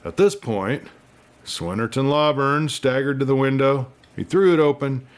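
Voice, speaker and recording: A man's voice: medium pitch, unhurried. A man narrating a scripted story dramatically as an audiobook reader.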